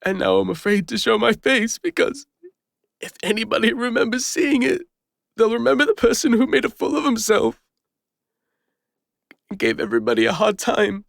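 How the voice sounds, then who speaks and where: A man with an English accent playing a regretful fantasy adventurer and healer, confiding haltingly in an intimate audio roleplay. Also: very high-pitched, very animated, gravelly, a bit bright, no echo, clean studio sound, heavily acted. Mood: ashamed, vulnerable. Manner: hesitant, confessional, halting with pauses.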